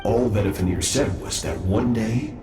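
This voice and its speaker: An actor playing a proud, brilliant master who speaks with grand, calm self-assurance, in a video game. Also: male voice, on the low side, moderate pace, echoey room, over music, close to an everyday voice. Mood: self-assured. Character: proud brilliant young man, a legendary master.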